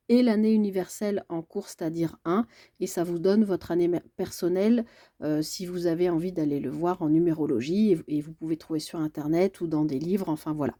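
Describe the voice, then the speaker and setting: Card-reading vlogger, female, congested from a cold, halting delivery, deep warm timbre. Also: dry recording, studio quality. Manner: hesitant, halting delivery with frequent pauses. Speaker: tarot card reader and channel host. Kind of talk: casual personal video message.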